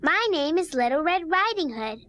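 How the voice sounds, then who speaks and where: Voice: high voice, big pitch swings, normal speed, bright, forceful tone, no echo, exaggerated voice. A performer voicing a sweet, trusting, obedient little girl in a children's musical story, with a cute, earnest manner. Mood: trusting, cheerful.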